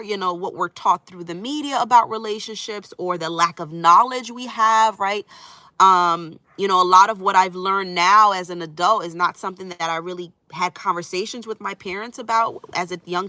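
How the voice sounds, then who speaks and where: A woman's voice: mid-range voice, normal speed, pushed and bright, no room sound. A middle-aged woman, a relationship coach, chatting on a live stream and answering viewers' comments, a little stuffy from a cold, reassuring and conversational. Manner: reassuring, conversational, frequent "right?" and "you know".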